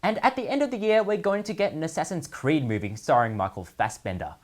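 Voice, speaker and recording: A man's voice: on the high side, expressive, a little fast. A man presenting scripted comedic commentary as a show host, with a sardonic, mock-serious delivery of punchlines. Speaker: host of a gaming news segment.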